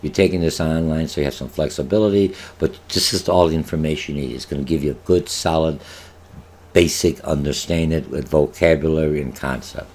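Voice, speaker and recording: Older male instructor, Polish native, deep low voice, rambling lecture with many fillers, webcam in a home office. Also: brisk, a little mellow. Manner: rambling, many filler sounds and restarts, rhetorical questions. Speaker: college marketing instructor. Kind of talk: online lecture over slides.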